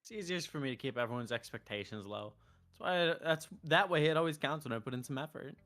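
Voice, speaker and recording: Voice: medium pitch, fast, fairly bright tone. A streamer of androgynous voice playing a visual novel, casually bantering with wisecracks and swearing between lines read aloud. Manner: wisecracking, profane. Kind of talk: casual gameplay banter mixed with reading dialogue aloud. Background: game music.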